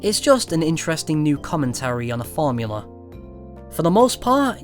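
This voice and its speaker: Man, fairly high voice, scripted review narration, measured delivery, trailing asides, soft background music. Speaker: video game reviewer, channel host.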